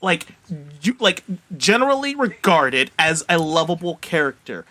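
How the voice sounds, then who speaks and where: A man's voice: fairly high pitch, very animated, normal speed, pushed and bright. A man co-hosting a podcast in casual, emphatic, profanity-laden group chat. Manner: emphatic, swearing freely. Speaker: podcast co-host.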